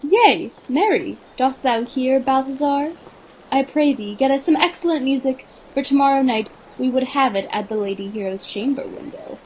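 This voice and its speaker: A woman's voice: sits high, normal speed, darkish tone, slight background noise, lightly acted, poor audio. A woman acting a playful, scheming prince in an amateur Shakespearean radio play. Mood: jovial, commanding. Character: courtly male prince, playful, scheming leader.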